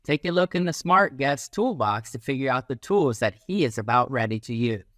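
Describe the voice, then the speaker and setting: Male teacher-narrator for kids' educational picture book: lively melody, instructive delivery, close dry sound. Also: mid-range voice, normal speed, no room sound. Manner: animated, instructive, acts out little sounds and quotes. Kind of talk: expressive children's read-aloud.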